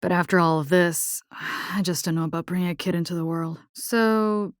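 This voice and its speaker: A woman's voice: lowish voice, fairly even intonation, moderate pace, no echo, studio quality, natural voice. A woman playing a spirited, caring young combat engineer in a video game, with a Latin American accent and informal, sassy talk that slips Spanish into English. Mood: earnest, concerned.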